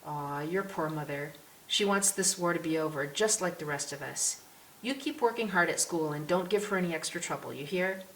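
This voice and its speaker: A woman's voice: deep voice, moderate pace, fairly bright tone. A woman voicing a genial English policeman in an audiobook, kindly, folksy and teasing with children. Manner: jovial, avuncular, folksy. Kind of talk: audiobook character voice. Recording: roomy echo with clear hiss.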